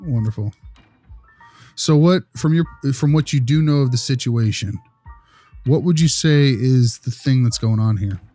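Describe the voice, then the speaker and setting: A man's voice: mid-range voice, a little slow, mellow, no room sound, faint music behind, natural voice. A man playing a friendly, attentive consultant who asks questions in a polite, affirming way in naturalistic dialogue. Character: friendly, attentive male consultant interviewing school staff. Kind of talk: naturalistic acted interview dialogue.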